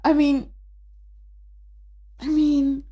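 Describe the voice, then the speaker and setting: A young woman, a spoken word poet chatting as a guest in a podcast interview, animated and full of "you know" and "like". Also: high voice, extremely slow. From Nigeria. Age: young adult. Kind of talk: casual interview chat. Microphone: studio dynamic mic.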